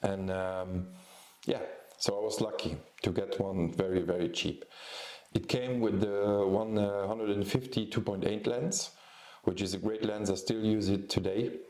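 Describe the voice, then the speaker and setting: Slow, deep-voiced man from Luxembourg. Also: fairly even intonation, a little mellow, roomy sound. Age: 40s. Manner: hesitant, many ums and restarts. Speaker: photographer and YouTube host. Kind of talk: casual vlog talk.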